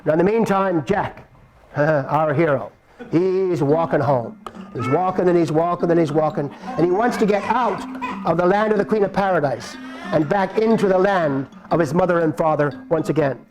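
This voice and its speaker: A man telling a folk tale aloud in a live puppet show, with lively, repetitive phrasing. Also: on the high side, normal speed, faint music behind, rough recording. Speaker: storyteller.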